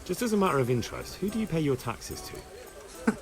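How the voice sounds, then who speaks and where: Earnest young medieval man-at-arms, lively intonation. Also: on the high side, brisk, faint music behind. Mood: shrewd, pressing. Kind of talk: video game dialogue acting.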